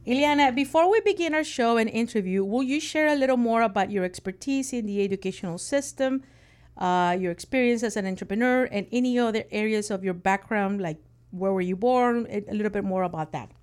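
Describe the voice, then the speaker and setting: A woman's voice: fairly high pitch, fairly quick, darkish tone, clean tone. A woman hosting an interview show, speaking in an upbeat, emphatic way and often affirming her guest. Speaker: host.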